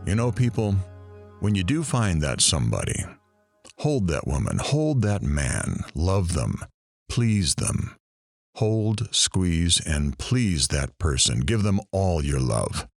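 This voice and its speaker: A man playing a sympathetic show host in an audio drama, with husky, earnest, sentimental radio patter. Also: low-pitched, no echo, studio quality, full character voice. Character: sympathetic male show host interviewing a soldier's wife.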